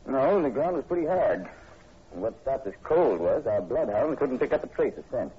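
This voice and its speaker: A man's voice: mid-range voice, very animated, normal speed, breathy edge. An actor playing a skeptical state police sergeant in a 1940s radio horror drama, folksy and matter-of-fact in his delivery. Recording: muffled, hissy old radio recording.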